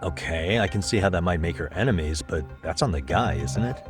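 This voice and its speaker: A man playing an easygoing, sceptical detective in a video game, talking in a dry, casual, measured way. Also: deep voice, lively intonation. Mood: concerned. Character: adult male detective, easygoing, sceptical, dryly wry. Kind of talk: English video game voice acting. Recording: music behind the voice.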